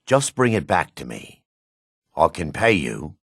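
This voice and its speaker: A gruff dark elf for a fantasy game, his voice raspy and forceful. He is suspicious and curt, with a sneering edge and pitch that swings widely from line to line. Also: very animated, unhurried, no echo, clean studio sound, full character voice. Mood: calm, dry. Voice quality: gravelly, raspy. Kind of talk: video game character barks.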